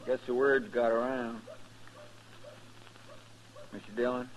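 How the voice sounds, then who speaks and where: A man's voice: mid-range voice, flat delivery, takes it slowly, natural voice. A man acting a marshal's loyal, anxious sidekick in a radio western, with a rural American drawl and an earnest, deferential way of talking. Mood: anxious, hushed. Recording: noisy vintage radio recording.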